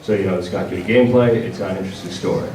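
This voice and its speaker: A man's voice: low voice, racing, darkish tone. Man, convention presenter, hesitant informal delivery, echoey room, slight background noise. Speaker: panel presenter for a game publisher. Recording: distant mic in echoey, noisy room.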